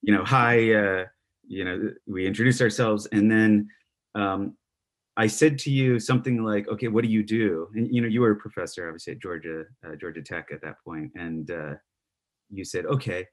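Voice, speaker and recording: A man hosting an interview in a conversational way, rambling with many fillers and restarts and trailing off quietly. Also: fairly low pitch, steady tone, normal speed. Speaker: podcast host.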